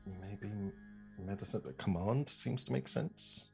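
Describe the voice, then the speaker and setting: A man's voice: lowish voice, measured pace, gravelly, darkish tone, soft background music. A man playing a tabletop game, talking casually and hesitantly as he thinks aloud.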